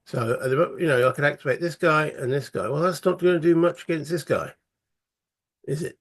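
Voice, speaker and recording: A man, croaky from a cold, casually talking through a solo board game and thinking aloud with many fillers. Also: a little high, fairly quick. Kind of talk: casual tabletop playthrough commentary.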